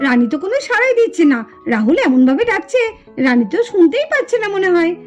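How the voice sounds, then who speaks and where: A woman's voice: very high voice, very animated, quick pace, soft background music. A woman narrator telling a children's puppet cartoon story in a storytelling style.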